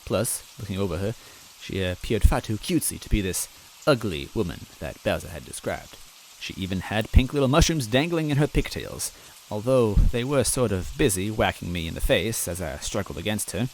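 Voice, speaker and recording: A man with a fairly low voice narrating a fan story in first person. He reads in an earnest, timid, self-deprecating way, with faint rain sounding in the background. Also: expressive, moderate pace, slight background noise, low-quality recording. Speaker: story reader.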